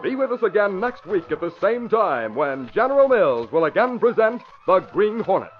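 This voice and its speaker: Casting: male 1940s radio announcer, high-pitched, lively swooping intonation, polished sales delivery. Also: normal speed, soft background music. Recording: dull vintage radio broadcast recording.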